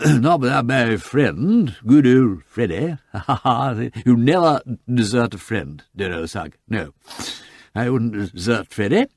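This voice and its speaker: A man voicing a drunken, giggling aristocratic gentleman who slurs and rambles in an audiobook reading. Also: mid-range voice, expressive, takes it slowly, no room sound. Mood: sentimental drunk. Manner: tipsy, giggling, slurred and rambling. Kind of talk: audiobook character voice.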